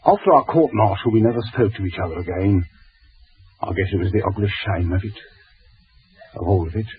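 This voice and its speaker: An actor playing a cheerful, deferential little street busker with theatrical patter, in 1940s radio drama style. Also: male voice, low voice, brisk, husky. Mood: subdued, ashamed. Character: cheerful, obsequious little clown-like street busker with a guilty past. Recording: tinny vintage radio broadcast, crowd behind.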